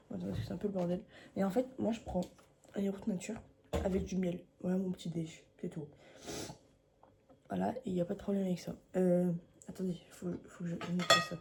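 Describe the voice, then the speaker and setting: A young woman chatting casually to the camera in a vlog, low-key and often murmuring half under her breath. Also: vocal fry, background noise. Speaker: vlogger.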